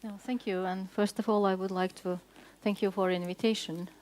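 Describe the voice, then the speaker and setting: A woman with a Latvian accent speaking as a panelist at a conference, halting often with fillers and restarts. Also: mid-range voice, steady tone, darkish tone. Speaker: diplomat panelist. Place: conference hall.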